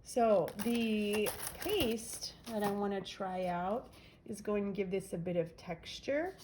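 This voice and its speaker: Brief: woman, nasal, unhurried crafting vlogger talking through each step, faint hiss behind. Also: medium pitch. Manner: chatty, narrates each step while working. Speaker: craft demonstrator. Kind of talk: casual crafting demo.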